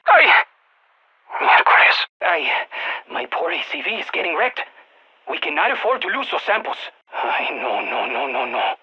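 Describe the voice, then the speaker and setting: A quirky, excitable field scientist in a video game, calling out to a strike team. His husky voice swings widely in pitch with a Spanish accent, through a slightly dull radio filter. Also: moderate pace, long pauses, heavily acted. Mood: distressed. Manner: animated, exclamatory, peppers speech with Spanish interjections. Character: excitable, quirky male exogeologist supporting a military strike team. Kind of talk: video game voice acting, mission radio callouts.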